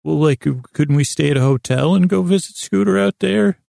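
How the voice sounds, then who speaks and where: A man hosting a podcast in a rambling bedtime monologue, halting and meandering, often cutting himself off with false starts, in a self-deprecating, absurdist way. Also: on the high side, gravelly, warm tone, dry recording, clean studio sound. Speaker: podcast host.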